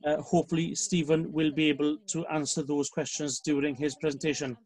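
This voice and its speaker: A man's voice: fairly high pitch, level tone, fairly quick, darkish tone. A man hosting a live online farm event, putting questions and introducing a speaker with many fillers. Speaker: event host and moderator. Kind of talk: moderating a live farm webinar.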